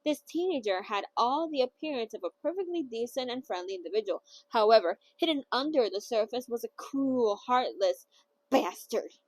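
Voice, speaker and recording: A woman's voice: a little high, animated, normal speed, no echo, rough recording. A woman hosting a casual novel read-along who rambles chattily and often trips over words. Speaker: book reader and host.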